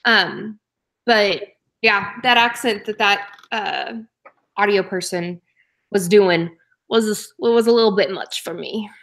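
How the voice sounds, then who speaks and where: A woman's voice: medium pitch, takes it slowly, creaky, fairly bright tone. A woman from Oklahoma giving frank, matter-of-fact opinions in a casual book club chat.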